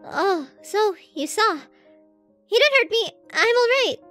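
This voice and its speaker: Woman acting shy lovestruck anime schoolgirl, very high voice, unhurried, music behind. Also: lively intonation, a bit bright, full character voice. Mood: flustered, tender. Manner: bashful, hesitant, flustered. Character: sweet, shy, lovestruck anime student girl with a feisty streak. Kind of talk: ASMR anime roleplay acting.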